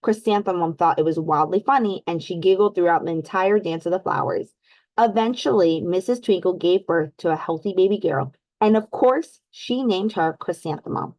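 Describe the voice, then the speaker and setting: A woman reading a storybook aloud to children in an expressive, deliberate way, pausing to put questions to her young listeners. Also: lowish voice, no room sound, rough recording. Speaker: read-aloud host for children.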